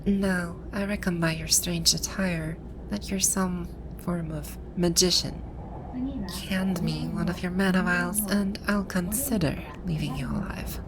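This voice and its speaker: A woman's voice: fairly low pitch, fairly even intonation, measured pace, noisy background. A woman acting a haughty, bewildered video-game villainess in an ASMR roleplay, with pompous, commanding, old-fashioned phrasing. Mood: imperious, demanding.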